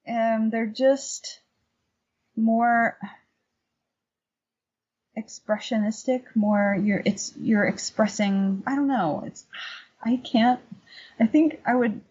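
A woman's voice: on the high side, steady tone, slow pace, pauses often, a little mellow, husky. A middle-aged woman talking casually and informally as an interview guest, telling stories and explaining her work as a painter. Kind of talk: casual conversational storytelling and explanation. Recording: dull lo-fi remote audio.

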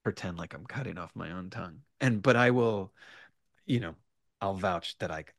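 A man chatting casually as a writer guest on a podcast, in halting bursts with repeated starts and asides. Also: low-pitched, vocal fry, no room sound, studio quality. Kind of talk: animated casual interview chat.

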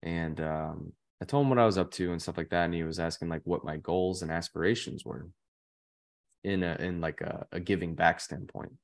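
A man's voice: deep voice, normal speed, creaky. A young man in his twenties, a podcast guest telling stories in a loose, rambling way full of "like" fillers. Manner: rambling, heavy use of "like" fillers. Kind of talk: casual chat.

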